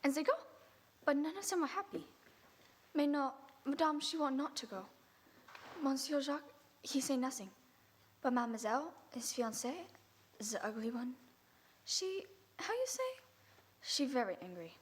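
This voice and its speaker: A woman playing a chatty, flattering French lady's maid who speaks broken English with a French accent, in an audio drama. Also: high-pitched, moderate pace, long pauses. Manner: lively, flattering. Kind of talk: radio drama acting.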